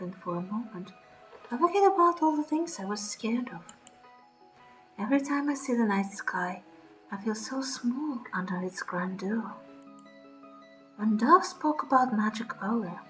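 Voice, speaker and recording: A woman streamer reading horror game narration aloud in a dramatic, breathy storytelling way, with short reactions of her own. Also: mid-range voice, fairly bright tone, low-quality recording. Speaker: streamer playing a horror visual novel. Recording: background music under the voice.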